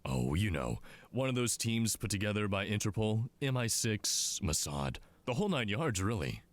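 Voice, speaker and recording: An actor playing a smug, condescending intelligence commander in a scripted drama, talking down to people in a mocking way. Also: male voice, fairly low pitch, sing-song, normal speed. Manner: condescending, mocking, drawling asides.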